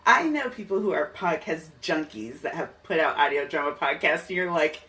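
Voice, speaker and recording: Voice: medium pitch, lively intonation, normal speed, pushed and bright. A podcast host interviewing a guest in casual, enthusiastic, chatty conversation. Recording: slightly distant mic, music underneath.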